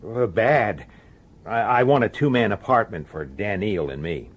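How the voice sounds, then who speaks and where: An actor playing a gruff, skeptical, exasperated police detective who questions things bluntly in a radio drama. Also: male voice, fairly low pitch, lively intonation, measured pace. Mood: irritated, uneasy.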